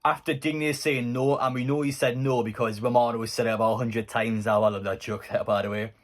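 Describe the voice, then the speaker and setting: A man's voice: mid-range voice, fairly even intonation, fairly quick. A man giving conversational, opinionated football news commentary as a fan YouTuber.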